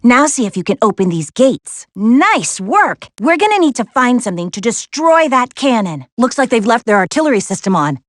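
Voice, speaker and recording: A woman voicing a spirited, capable young woman adventurer in an animated video game, with an American accent and a wry, sassy, instructive way of talking. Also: a little high, normal speed, few pauses, no echo, studio quality. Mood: focused, wry. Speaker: video game character voice actress.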